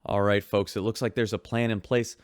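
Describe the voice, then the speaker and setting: A man's voice: lowish voice, level tone, a little fast, no echo, clean studio sound. A man as a chatty, upbeat radio show host. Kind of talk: scripted radio drama host.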